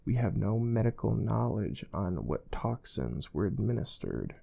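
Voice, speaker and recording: Man playing a slow, soft, mellow space-agency interviewer. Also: on the low side, very animated, vocal fry, heavily acted. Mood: calm, instructive. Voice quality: soft-spoken. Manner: measured, deliberate, formal. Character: calm, stern male space research job interviewer. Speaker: ASMR role-play performer. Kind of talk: soft-spoken ASMR role play.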